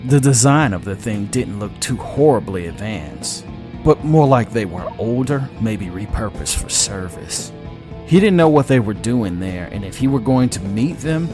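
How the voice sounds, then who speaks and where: A man with a low-pitched voice narrates a dramatic piece of scripted fiction. Guitar music plays clearly behind him as he tells the story.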